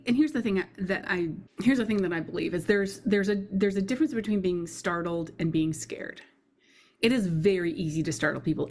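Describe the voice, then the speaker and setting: A woman hosting an online video, chatting casually and earnestly about her opinions, often repeating and rephrasing herself. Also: lowish voice. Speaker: YouTube host reviewing horror videos. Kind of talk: casual opinionated chat commentary.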